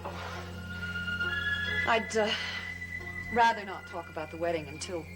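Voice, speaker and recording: An actress playing a confused, troubled young bride-to-be in a hesitant, earnest way, in the style of a 1970s gothic television soap. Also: female voice, on the low side, unhurried, loud music behind the voice, natural voice. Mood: uneasy, hesitant.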